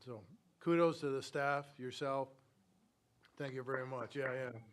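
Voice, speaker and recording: A man's voice: mid-range voice, fairly even intonation, unhurried, slight background noise. A man presiding over a village council meeting, speaking enthusiastically and often repeating his words as he praises staff. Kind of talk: meeting chair remarks.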